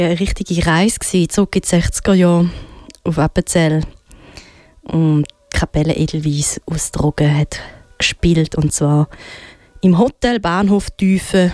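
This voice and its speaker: A woman radio host with a Swiss German accent introduces music in an informal, chatty way. Her voice is soft-edged and mellow, sitting a little low, and it is recorded close and dry. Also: no room sound. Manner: informal, conversational. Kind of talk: casual radio music presentation.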